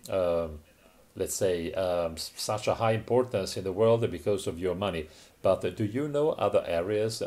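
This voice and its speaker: A man's voice: low-pitched, a little mellow. A man giving a simultaneous interpretation of a conference talk, hesitant and full of fillers and restarts.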